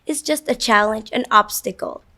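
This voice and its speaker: A young female student with a fairly high voice answers an interviewer's questions. She speaks English fluently and thoughtfully, with Vietnamese as her first language. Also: moderate pace, bright, forceful tone. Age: teens.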